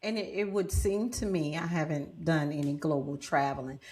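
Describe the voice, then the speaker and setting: Female podcast host, lower-pitched voice, relaxed interview style, encouraging and affirming. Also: moderate pace, slightly rough. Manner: affirming listener, frequent "yeah" and "mm-hmm". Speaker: podcast host, social worker. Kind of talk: casual interview.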